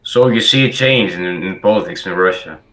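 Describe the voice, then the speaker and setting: A man's voice: low-pitched, moderate pace, a bit bright. Man, hesitant, echoey room. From Greece. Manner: hesitant, many fillers. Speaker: chat stranger. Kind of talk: casual video chat.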